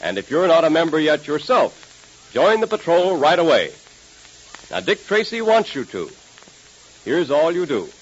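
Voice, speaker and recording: Muffled old radio, male announcer, slightly raised rough-edged voice, emphatic sales pitch. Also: very animated, a little slow, pauses often. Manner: emphatic, promotional delivery. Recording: muffled, hissy 1930s radio recording.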